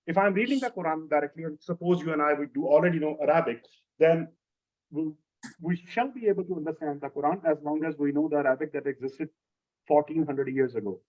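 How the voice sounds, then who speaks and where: Man teaching, slightly high voice. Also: quick pace, dull audio. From Lahore, Pakistan. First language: Urdu. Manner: explanatory, steady, repeats key phrases. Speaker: instructor. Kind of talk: answering a student's question in an online class.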